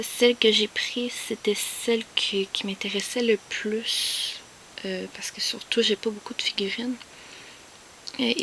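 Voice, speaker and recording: A woman with a Québécois French accent, whispering softly as she shows off her collection, with many hesitant fillers. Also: mid-range voice, vocal fry, a little hiss or hum, rough recording. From Quebec. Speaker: collector showing finds. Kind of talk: soft whispered show-and-tell.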